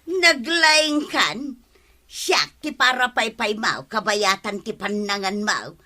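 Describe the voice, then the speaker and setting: Boastful gossipy village wife, Ilocano accent, very piercing high voice, radio comedy. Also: female voice, lively intonation, bright, forceful tone, exaggerated voice. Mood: complaining, excitable. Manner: exclamatory, drawn-out, theatrical delivery.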